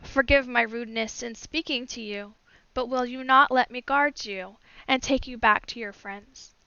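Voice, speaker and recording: A woman's voice: fairly high pitch, normal speed, sharp tone, clear, resonant tone, no room sound, dull audio. A woman voicing a gallant, smitten young prince in a fairy tale, courteous and earnest. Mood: gentle, earnest.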